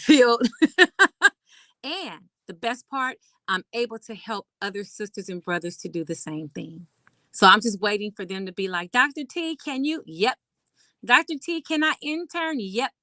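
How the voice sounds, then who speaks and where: Bright emphatic woman psychologist. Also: medium pitch, moderate pace, no room sound. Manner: emphatic, chatty, laughs often. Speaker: clinical psychologist and host. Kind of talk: casual talk on mental health.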